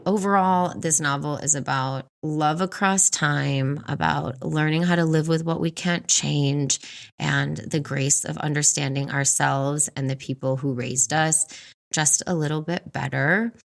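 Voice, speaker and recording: A woman in her forties co-hosting a book podcast, reviewing in an expressive, chatty way with frequent laughter. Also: low voice, measured pace, gravelly. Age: 40s. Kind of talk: book review chat, partly prepared notes.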